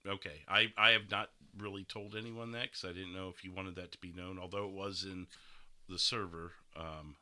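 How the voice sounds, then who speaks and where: Deep, very bright-voiced man hosting. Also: fairly even intonation, moderate pace, no echo. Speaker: podcast host and streamer. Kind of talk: casual chat on a livestream podcast.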